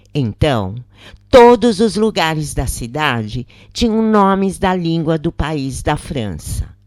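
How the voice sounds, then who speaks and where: Low-voiced Brazilian woman narrating slowly. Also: darkish tone, no room sound, studio quality. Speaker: channel narrator reading a book. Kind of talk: scripted audiobook-style narration.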